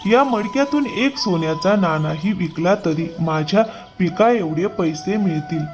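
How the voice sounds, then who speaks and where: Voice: medium pitch, unhurried, soft background music, lightly acted. An actor voicing an honest, hardworking farmer man in a moral-story cartoon. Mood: amazed.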